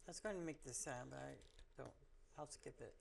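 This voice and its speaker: A woman's voice: a bass voice, normal speed, vocal fry, a crowd in the background. A woman co-hosting a children's story time, reacting casually and encouragingly with short exclamations and questions.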